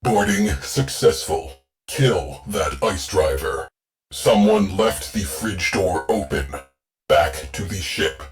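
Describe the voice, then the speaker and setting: A game's terse ship AI, deep and slow, dry deadpan orders, faint music under. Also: male voice. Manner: clipped, deadpan tactical briefings. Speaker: ship's onboard AI. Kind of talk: video game voice lines.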